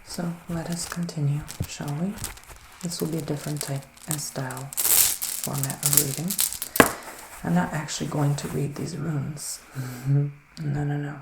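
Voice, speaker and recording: A soft-spoken man playing a gentle, mystical witch in an ASMR roleplay. His voice is creaky and often breaks into near-whispers, with slow, musing pauses. The room is echoey, with faint noise behind him. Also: a little high, steady tone. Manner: slow, hesitant, musing, with murmured hums. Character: gentle, mystical male witch giving readings.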